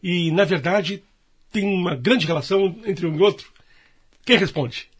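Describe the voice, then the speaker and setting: A man's voice: high voice, a little slow, husky. A man, hosting a live broadcast interview. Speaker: radio programme host.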